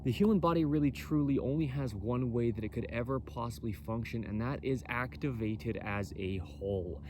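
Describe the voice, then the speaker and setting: A man with a loud, carrying voice explains things off the cuff. He talks boldly and emphatically, circling back to repeat his points. There is some ambient noise behind him. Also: mid-range voice, moderate pace. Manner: bold, emphatic, repetitive. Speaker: presenter for a clothing company. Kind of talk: impromptu explainer on body mechanics.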